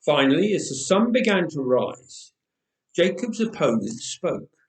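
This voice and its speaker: A man reading a story aloud with expressive, dramatic pauses, recorded in an echoey church. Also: on the high side, recorded from a distance. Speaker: storyteller, reader. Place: church, indoors.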